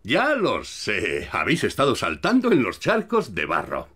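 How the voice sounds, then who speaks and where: A man's voice: on the low side, huge pitch swings, moderate pace, pushed and bright, husky, exaggerated voice. A man voicing a jolly, indulgent father pig in a children's cartoon, hearty and playful, with a Castilian Spanish accent.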